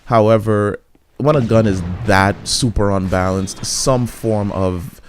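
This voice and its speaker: Low-voiced man rambling over gameplay. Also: takes it slowly, a little hiss or hum. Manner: rambling, frequent restarts and fillers. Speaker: gameplay commentator. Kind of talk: casual gameplay commentary.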